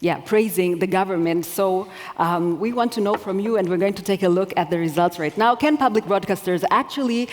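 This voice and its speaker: A woman's voice: medium pitch, brisk, a little mellow. Woman moderating in a reverberant hall. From Tanzania. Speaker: panel moderator. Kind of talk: moderating a conference panel. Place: conference hall with live audience. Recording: long reverb of a conference hall.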